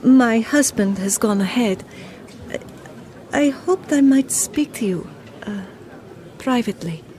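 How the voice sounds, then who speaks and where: A woman playing an earnest, searching wife in an audio drama, with hesitant, formal speech and a quiet stubborn streak. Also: on the high side, slow pace. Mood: hesitant, guarded.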